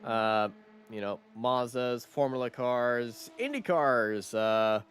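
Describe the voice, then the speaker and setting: A man with a raspy voice, a sim racing commentator chatting casually on a live stream, rambling with lots of fillers. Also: medium pitch, animated, slow pace, fairly bright tone. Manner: rambling, many fillers and restarts.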